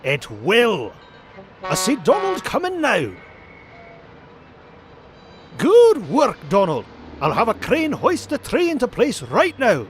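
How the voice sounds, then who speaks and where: A man voicing a grumpy, flustered old rich uncle duck in a cartoon comic dub, scolding and exasperated with drawn-out emphasis. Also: very high voice, wildly swooping pitch, soft background music, exaggerated voice. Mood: businesslike, eager.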